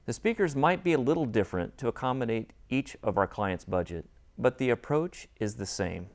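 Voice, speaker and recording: A man's voice: medium pitch, animated, a little fast. A man speaking as an interview guest, giving a rehearsed technical explanation as an audio and acoustics designer.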